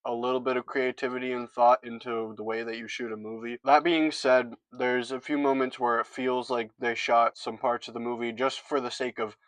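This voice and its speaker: A man's voice: mid-range voice, flat delivery, normal speed, few pauses, fairly bright tone, dry recording, low-quality recording. A man reviewing a film in a casual, scripted monologue with sarcastic, jokey asides.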